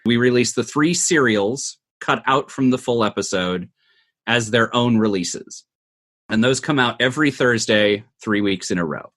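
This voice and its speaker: A man's voice: mid-range voice, measured pace, fairly bright tone. A man giving thoughtful, conversational interview answers, explaining things step by step. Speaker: interview guest, audio drama producer.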